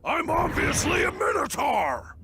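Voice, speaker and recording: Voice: high voice, huge pitch swings, a little slow, raised voice, over music, full character voice. An actor voicing a huge, hot-tempered minotaur monster in a video game, blustering in folksy rural phrases. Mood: indignant.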